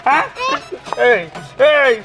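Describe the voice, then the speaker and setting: A man voicing an excitable, teasing village father in a cartoon, with a rural Bangladeshi accent and a pitched-up voice. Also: slow, over a noisy crowd. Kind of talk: cartoon comedy dialogue. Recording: loud music behind the voice.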